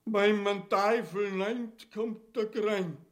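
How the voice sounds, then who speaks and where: A man with an Austrian-Bavarian accent playing an excitable villager bearing dire news in a radio play. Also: high voice, takes it slowly, noisy background. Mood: alarmed gossip.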